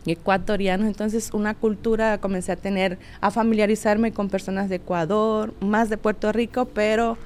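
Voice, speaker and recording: A woman's voice: mid-range voice, level tone, normal speed, few pauses, darkish tone, a little hiss or hum. A woman from southern Mexico, a religious sister chatting casually and a little hesitantly as a guest. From Oaxaca coast, southern Mexico. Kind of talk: casual, personal interview chat.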